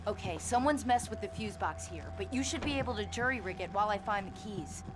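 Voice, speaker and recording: A woman playing a brisk, capable small-town sheriff in a video game, with an American accent and a matter-of-fact, commanding manner. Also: mid-range voice, animated, noisy background, lightly acted. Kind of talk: video game dramatic acting. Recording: game music behind the voice.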